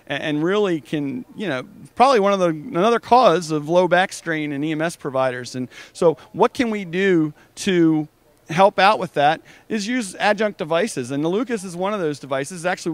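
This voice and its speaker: A man's voice: a little high, clear, resonant tone, no echo. A man hosting an on-location interview at a trade show booth, speaking in a chatty, conversational way. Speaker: podcast host and interviewer.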